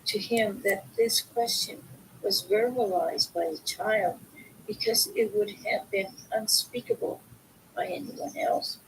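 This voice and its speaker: A woman reading book excerpts aloud, with halting, deliberate phrasing. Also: gravelly, low-quality recording. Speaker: reader of a book. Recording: faint background noise, low rumble.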